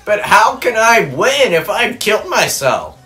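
A man's voice: high-pitched, very animated, a little slow, bright, forceful tone, heavily acted. A man voicing a laid-back, wisecracking young hedgehog hero in an improvised game fan dub, casual and joking. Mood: mock worried. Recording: game music behind.

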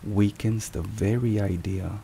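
A man with a low, creaky voice narrates a devotional in ASMR style. He speaks solemnly and very slowly, setting down each phrase with care. Rain and thunder run behind him throughout. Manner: solemn, measured, deliberate phrasing. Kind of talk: ASMR scripted narration, soft-spoken devotional talk. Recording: steady rain and thunderstorm noise.

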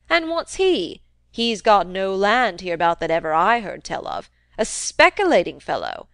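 Crabby old landowner, loud and pushy, dry close sound, a woman reading him. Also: medium pitch, no echo. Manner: gruff, wheedling, loud and emphatic. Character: cantankerous domineering old country man.

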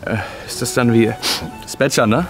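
Naive young gardener played by a man, mid-pitched, hesitant and eager, faint music behind. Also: fairly quick, lightly acted. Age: young adult. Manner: hesitant, stumbling, questioning. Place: outdoors in a garden.

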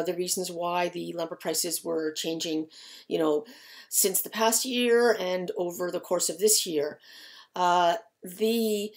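A middle-aged woman giving informal market commentary in a conversational, explanatory way. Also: fairly low pitch. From Canada. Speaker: lumber market analyst and host. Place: small indoor office with shelves of files.

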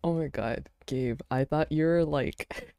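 A woman streamer chatting casually with friends while she draws, relaxed and laughing often with lots of fillers. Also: low voice, unhurried, vocal fry, no echo, clean studio sound. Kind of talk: casual chat while drawing.

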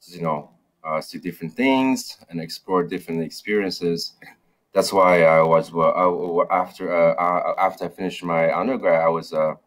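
Low-voiced guy on a video call, lots of uh and restarts. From China. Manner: hesitant, many fillers and restarts. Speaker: startup founder, guest. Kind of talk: interview over video call. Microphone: video call, webcam.

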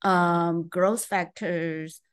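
A middle-aged woman from Taiwan, a scientist guest on a video call, speaking thoughtfully and pausing with frequent ums. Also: on the low side, steady tone, clean tone. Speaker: neuroscientist guest. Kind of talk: interview over video call. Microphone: video call webcam.

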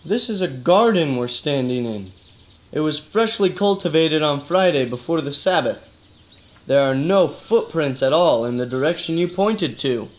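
A man's voice: fairly high pitch, expressive, normal speed, close to an everyday voice. A man playing a weary, skeptical hardboiled detective with colloquial talk, in a noir-parody radio drama. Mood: stern, skeptical. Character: exasperated, hardboiled male detective questioning his cousin.

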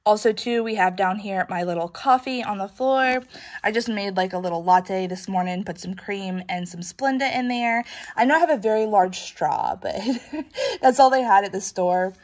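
A woman's voice: medium pitch, expressive, moderate pace. A woman with a stuffy, congested nose, chatting in a rambling, casual way, with many "um"s and "like"s, while showing a shopping haul on her living room floor. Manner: chatty, rambling, frequent "um" and "like", laughs often. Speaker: reseller vlogger.

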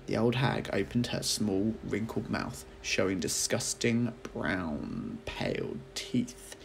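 A man, a teacher reading a children's audiobook aloud as an expressive storyteller. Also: on the low side, slow pace, vocal fry, faint music behind, poor audio.

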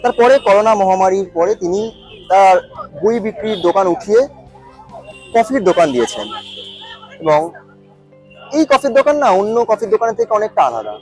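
A male reporter speaks in a high voice at a fast clip. He delivers a field news report with emphatic, repetitive explanations over music. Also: lively intonation, a little mellow, rough recording.

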